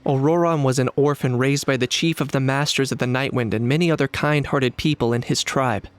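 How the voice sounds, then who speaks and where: Man acting calm, pragmatic young warrior. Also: medium pitch, no echo, lightly acted. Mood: serious, explanatory. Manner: measured, matter-of-fact, serious. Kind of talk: video game voice acting.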